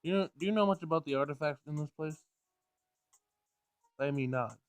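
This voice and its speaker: A man's voice: on the high side, normal speed, smooth, dry recording, soft background music. A man reading a visual novel aloud as he plays, sometimes stumbling over words and rereading lines. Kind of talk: Let's Play read-aloud of game text.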